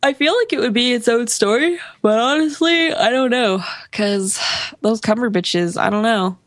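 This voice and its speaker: A woman's voice: on the high side, moderate pace, dry recording, studio quality. A sniffly woman co-hosting a casual gaming podcast, chatting and reading out lists in a playful, joking way. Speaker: podcast co-host reading game release dates.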